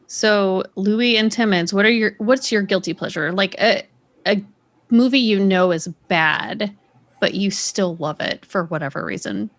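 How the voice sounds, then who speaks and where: Mid-pitched woman hosting a casual chat. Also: unhurried. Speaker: podcast host posing questions. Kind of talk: casual group discussion.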